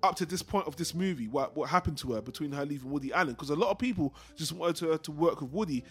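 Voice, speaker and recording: A man's voice: a little high, expressive, fast talker, slightly rough. A man hosting a casual film discussion podcast, talking in a rambling, digressive and opinionated way. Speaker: podcast host.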